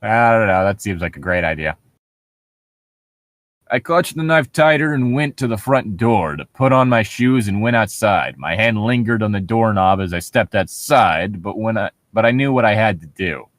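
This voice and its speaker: A man with a fairly low voice reads a story aloud in a casual, joking way. He slips from narration into quips and side remarks. The recording is dry and close, with little room sound. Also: animated, moderate pace, no room sound. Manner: breaks off from reading to quip. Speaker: co-host reading a horror story aloud.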